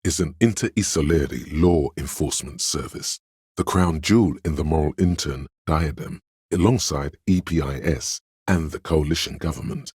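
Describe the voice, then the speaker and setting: A man voicing a pedantic inner voice of encyclopedic knowledge in a game, narrating lore in a measured, learned, didactic way. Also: very deep voice, darkish tone, slightly rough, no room sound, studio quality. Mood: informative. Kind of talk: scripted narration, delivering trivia.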